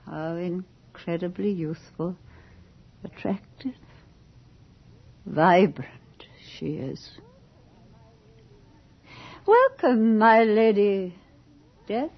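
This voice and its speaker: Proud aging lady of the manor, theatrical, unhurried delivery, muffled vintage radio sound with prominent music. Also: medium pitch, expressive. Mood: awed. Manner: grand, theatrical, formal diction. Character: proud, imperious aging noblewoman hosting her last ball. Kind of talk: old-time radio drama acting. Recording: dull old radio recording under music.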